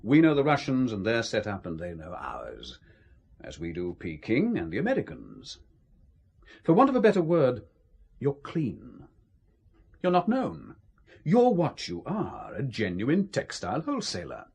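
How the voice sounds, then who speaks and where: An actor playing a condescending British spymaster in an audio drama, with an emphatic, patronising delivery. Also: male voice, medium pitch, huge pitch swings, measured pace, creaky, a little mellow, breathy edge, heavily acted. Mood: condescending, menacing.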